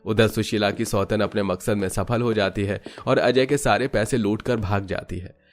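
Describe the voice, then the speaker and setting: A man narrates a cartoon family story in a low voice. The scripted delivery is melodramatic, with music playing behind. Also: steady tone, moderate pace.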